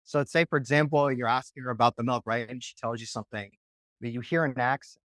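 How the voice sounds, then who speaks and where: A man's voice: medium pitch, fairly even intonation, fast talker, a bit bright, no echo. A man coaching on dating in a podcast interview, talking casually and often checking in with "right?".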